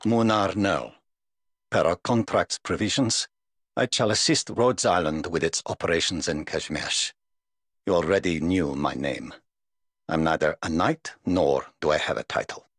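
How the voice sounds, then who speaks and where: An English actor voicing a weary, aloof middle-aged knight in a game, speaking in a dry, measured, world-weary way. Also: male voice, sits low, fairly bright tone, husky, no room sound, heavily acted. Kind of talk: game voice lines.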